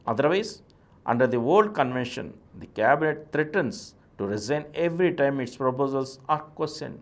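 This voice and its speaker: A man with an Indian accent reads an essay aloud as a presenter. His voice sits at a middling pitch. He phrases each statement formally and deliberately, leaning firmly on key words. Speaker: reader and presenter. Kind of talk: reading a political essay aloud.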